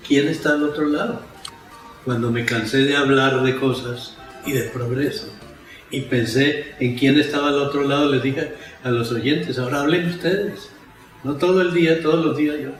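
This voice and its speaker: An older Colombian man telling stories from his past in a conversational interview style. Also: mid-range voice. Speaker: interviewee, former rural radio broadcaster. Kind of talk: conversational storytelling reminiscence. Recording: very echoey, faint music behind.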